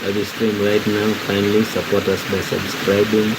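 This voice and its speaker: Heavy rain noise pours steadily behind a man's voice with a Kenyan accent, speaking English as an additional language. His delivery is very flat and somewhat low. He talks casually to livestream viewers, placing his phrases deliberately with halting breaks. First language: a Kenyan mother tongue. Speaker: livestream host.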